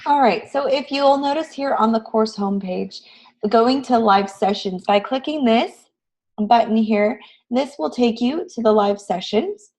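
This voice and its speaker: A woman with a raspy voice, hoarse from a cold, teaching a live online class in an encouraging, conversational way. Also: fairly high pitch, measured pace, a little mellow. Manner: encouraging, addresses students with frequent questions. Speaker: online graphic design instructor. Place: online class session, screen shared.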